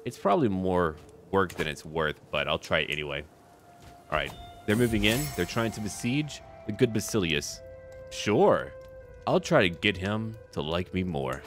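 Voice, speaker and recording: Male gaming creator, deep voice, casual in-character strategy commentary in clipped asides, faint music underneath. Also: sing-song, normal speed. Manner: talks to himself and to game characters in short clipped remarks. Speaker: gaming video creator narrating his own playthrough.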